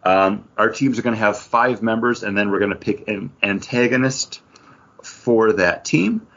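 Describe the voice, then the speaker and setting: A man's voice: lowish voice, unhurried, a bit bright. A man hosting a podcast in a casual, conversational way. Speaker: podcast host. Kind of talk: casual chat about comic books. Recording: dull, lo-fi podcast audio.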